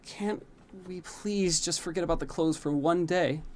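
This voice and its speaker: A man's voice: fairly high pitch, a little slow, slight background noise, voice pushed up, natural voice. A man doing a whiny, pleading young prince.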